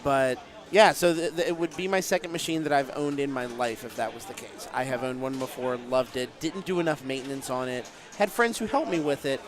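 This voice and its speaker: A man's voice: mid-range voice, brisk, crowd noise. A man in his late thirties, joking and chatting casually while commentating a pinball league stream from a bar arcade. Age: 30s. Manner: chatty, joking, self-deprecating. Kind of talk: casual chat.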